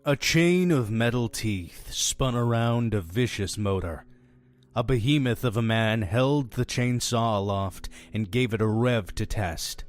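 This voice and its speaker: A man playing a fussy, sardonic innkeeper who tells dark horror tales in a theatrical, deliberate way for an audio drama. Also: medium pitch, faint music behind, lightly acted. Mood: ominous, hushed. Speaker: storytelling host of a horror anthology.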